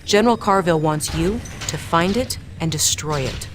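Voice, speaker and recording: Low-voiced female military briefing officer, played by an actress. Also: normal speed, lightly acted. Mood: businesslike. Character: composed young female military intelligence lieutenant briefing the commander. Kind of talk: video game cutscene mission briefing. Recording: music behind the voice.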